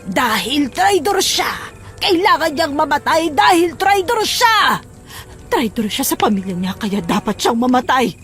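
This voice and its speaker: A woman's voice: fairly high pitch, lively intonation, fairly quick, hardly any pauses, natural voice. Lady doing an evil goading creature woman, horror drama, drags her words out, bit of music under it. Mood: venomous urging. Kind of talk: horror audio drama acting.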